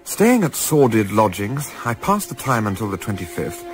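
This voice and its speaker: A man playing a composed, astute Edwardian gentleman turned amateur spy, speaking formally in a radio play as narrator and lead. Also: on the low side, big pitch swings, moderate pace, music in the background. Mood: sombre, reflective. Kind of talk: radio play acting with first-person literary narration.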